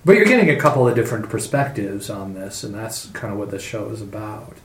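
A man in his fifties co-hosting a podcast in casual chat, easygoing and quick to laugh. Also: lowish voice, lively intonation. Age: 50s. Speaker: podcast co-host. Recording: roomy room sound.

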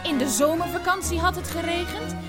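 A woman's voice: extremely high pitch, a little fast. An actress playing a young eldest daughter who tells her family's story in a radio play, plainly and with childlike simplicity. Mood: weary recounting. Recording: prominent music behind the voice. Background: music.

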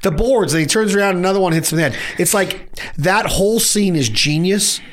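A man in his late forties co-hosting a podcast, chatting casually and often jumping up in pitch when making a point. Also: expressive, brisk. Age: 40s. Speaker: podcast co-host. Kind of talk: casual chat.

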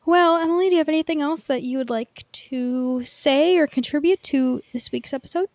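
A woman's voice: high voice, moderate pace, a little mellow, no echo, studio quality. A woman co-hosting a podcast in casual chat, with an informal, self-deprecating manner. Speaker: podcast co-host.

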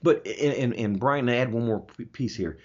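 A man with a rough, husky voice at a medium pitch talks as a show host. He explains his views with emphasis and often asks rhetorical questions. The audio is dull and a little lo-fi. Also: normal speed, a little mellow. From Germany. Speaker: talk show host answering listener questions. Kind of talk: opinionated conversational commentary.

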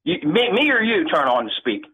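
A man's voice: high-pitched, very animated, a little fast, pushed and bright, low-quality recording. A man in his fifties on a cell phone, chatting casually as he calls in to audition on a radio show. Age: 50s. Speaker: caller auditioning as singer. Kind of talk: casual chat on a radio call-in.

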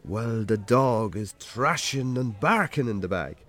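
An actor in a radio drama playing a weary, sardonic Irish farmer with a dry, colloquial, sweary way of talking. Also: male voice, on the low side, lively intonation, unhurried, a bit bright. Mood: wry storytelling. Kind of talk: naturalistic radio drama acting.

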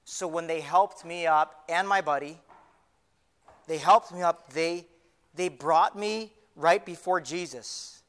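Man acting as an excitable blind beggar, high bright voice. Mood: awed, tender. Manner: halting, repeats phrases, conversational storytelling. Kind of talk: first-person dramatic monologue, retelling a Bible story. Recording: live in a large reverberant hall.

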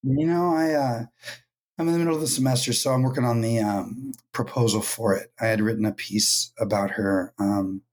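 Hoarse male writer guest, husky and strained, thoughtful pauses and many ums. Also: mid-range voice, steady tone. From California. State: hoarse, lost voice. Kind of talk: casual podcast interview.

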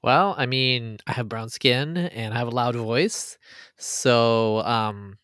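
A man's voice: medium pitch, slow pace, fairly bright tone, dry recording. A man from Canada, a guest on a casual podcast, telling a story with dry humor and plenty of fillers. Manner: dry joking, hesitant with fillers.

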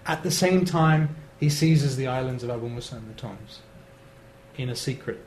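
A man giving an analytical academic talk, measured and steady, with fillers. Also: mid-range voice. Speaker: lecturer on Iran. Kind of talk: academic lecture answer. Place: lecture hall. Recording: roomy hall, faint noise.

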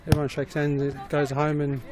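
A man in his forties, a junior football coach who grew up in Melbourne after arriving from Lebanon, answering in a relaxed, rambling way full of fillers. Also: a little high, a little fast. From Lebanon, raised in Melbourne. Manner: rambling, many fillers and restarts, easygoing. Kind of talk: casual interview answers. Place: outdoors at a football ground. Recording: outdoor recording with crowd behind.

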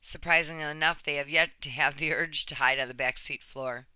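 Female reader for a personal true story. Crisp, smooth tone, slightly low-voiced for a woman, earnest delivery, very dry recording. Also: fairly even intonation, brisk, sharp tone, dry recording. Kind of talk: reading a personal written story aloud.

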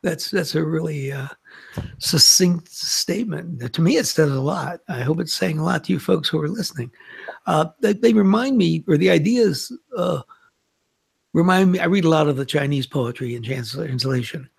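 Gravelly, husky-voiced male host. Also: fairly high pitch, moderate pace. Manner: stammering, repeats words. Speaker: interviewer, host.

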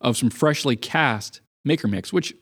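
A man co-hosting a podcast in casual chat, a bit raspy from a bug, explaining things at length with everyday comparisons. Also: mid-range voice, moderate pace. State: sick with a cough. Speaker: podcast co-host.

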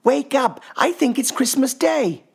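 A man voicing a very little, impatient boy bear cub in an excitable, childlike way for a storybook. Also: very high voice, a little slow, husky, pitched above the performer's own voice, full character voice. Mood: eager, excited.

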